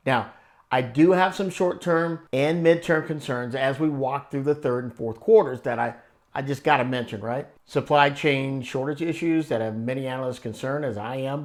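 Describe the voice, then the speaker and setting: A man hosting an investing channel, reading scripted analysis in a measured, explanatory way with conversational asides. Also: mid-range voice. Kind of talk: scripted stock analysis narration.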